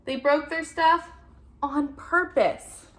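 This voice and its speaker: A woman voicing an earnest, anxious-to-please male egg in an expressive children's picture-book read-aloud. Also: sits high, slow, voice pushed up. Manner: expressive storybook reading with playful emphasis.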